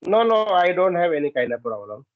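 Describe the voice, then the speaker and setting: An Indian man whose first language is Hindi talks in slow beginner English, full of "uh" and restarts. His voice sits a little high. The call audio sounds dry and dull. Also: very animated, no room sound. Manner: halting beginner English, many fillers. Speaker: English learner. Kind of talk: casual practice conversation. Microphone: app call.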